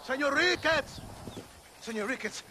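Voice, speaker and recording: An actor playing a pleading, grateful Mexican townsman with an excitable, deferential manner in a Western video game. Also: very high-pitched, measured pace, noisy background. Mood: urgent, pleading.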